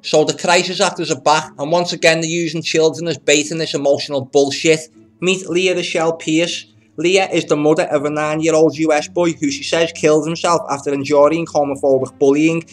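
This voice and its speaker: Scornful higher-pitched man over music. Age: 30s. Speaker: host and commentator. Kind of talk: opinionated scripted commentary. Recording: music playing under the voice.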